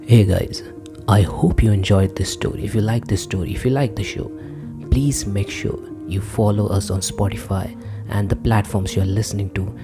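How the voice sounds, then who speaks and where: A man's voice: fairly low pitch, fairly even intonation, normal speed, over music. A man hosting a podcast, giving a casual outro to listeners. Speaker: podcast host.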